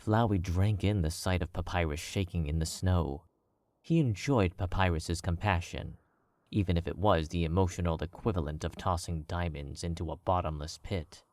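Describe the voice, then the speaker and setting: A man narrating a fan fiction as an audiobook, in a measured, wry storytelling manner. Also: low-pitched, a little mellow, no room sound.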